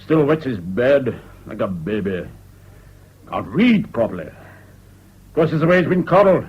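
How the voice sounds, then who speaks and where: Gruff domineering British general. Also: male voice, medium pitch, very animated, a little slow. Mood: scornful. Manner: barking, brusque, domineering. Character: gruff, bullying, impatient retired general and father. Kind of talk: BBC radio drama acting. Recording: dull lo-fi old radio recording, background noise.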